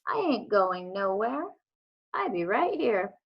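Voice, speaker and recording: A woman voicing a kindly old lady from a children's storybook, folksy and unhurried. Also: medium pitch, sing-song, husky, exaggerated voice. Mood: gentle, reassuring. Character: kindly old Southern woman with poor eyesight, warm and folksy. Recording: dull lo-fi home recording.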